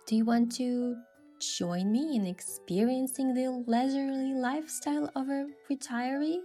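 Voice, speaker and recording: A woman's voice: on the high side, mellow. A woman giving scripted first-person story narration with acted dialogue. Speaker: narrator and lead character of a game event story. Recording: music behind.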